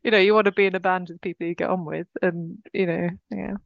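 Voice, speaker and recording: Guest, woman, gravelly fry, upbeat and giggly, dry lo-fi sound. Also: fairly low pitch, steady tone, fast, no echo. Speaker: musician guest. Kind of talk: casual chat.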